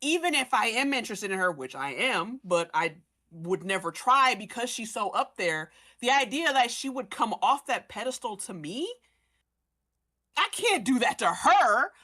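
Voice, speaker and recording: Bright, forceful woman explaining a story. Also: on the high side, very animated, normal speed. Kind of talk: casual fan discussion of a fiction story, acting out characters' thoughts.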